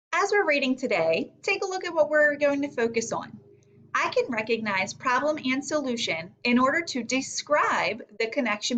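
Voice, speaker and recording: A woman's voice: sits high, a bit bright, lo-fi sound. A woman teacher giving a read-aloud lesson to young children, thinking aloud and guiding them with questions. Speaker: elementary reading teacher.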